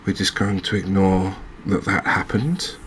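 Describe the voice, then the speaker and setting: Low, even-toned man commentating a game. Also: measured pace, slight background noise. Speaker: gaming channel host. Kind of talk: relaxed ASMR game commentary.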